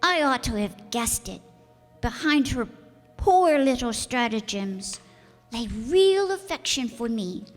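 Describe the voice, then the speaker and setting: A woman playing an earnest, innocent, wistful young boy prince in a theatrical radio play. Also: high voice. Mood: regretful. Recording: echoey hall with music bed.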